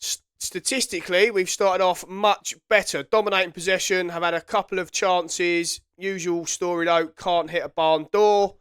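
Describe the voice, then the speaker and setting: A man's voice: sits high, fairly even intonation, moderate pace, hardly any pauses, fairly bright tone, smooth, no room sound, studio quality. A man with a head cold giving chatty, self-correcting commentary while playing a football management game. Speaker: gaming YouTuber. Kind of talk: casual gameplay commentary.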